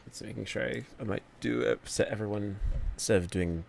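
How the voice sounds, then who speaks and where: A man with a fairly low, gravelly voice hosts a casual livestream from his home computer mic. He is chatty, greets viewers by name and thinks aloud as he fiddles with settings. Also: brisk, a little hiss or hum. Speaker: streamer host.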